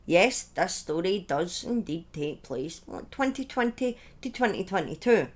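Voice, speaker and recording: Woman with very lively, swooping intonation. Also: fairly low pitch. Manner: informal asides, opinionated commentary, halting phrasing. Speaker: true-crime storyteller, streamer. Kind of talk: conversational true-crime storytelling, part scripted.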